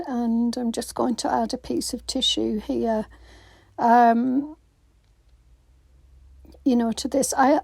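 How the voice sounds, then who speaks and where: Craft vlogger woman, croaky, mellow and slow, lots of ums, dry voiceover. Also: a little high, dry recording. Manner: hesitant, many ums and restarts. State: croaky voice after illness. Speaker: craft channel host. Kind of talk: casual voiceover for a craft video. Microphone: phone.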